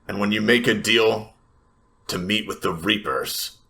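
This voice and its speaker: A man's voice: lowish voice, unhurried, vocal fry, sharp tone, voice pushed up, exaggerated voice. A man voicing a fed-up, hard-bitten female fighter in a tabletop roleplay, blunt and emphatic. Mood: weary anger. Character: fed-up, hard-bitten, foul-mouthed female Reaper with scythes. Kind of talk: tabletop roleplay character acting.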